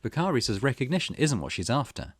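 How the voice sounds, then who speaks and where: A man's voice: mid-range voice, sing-song, quick pace, husky, dry recording. A man hosting a let's play, reading game text aloud and adding his own commentary.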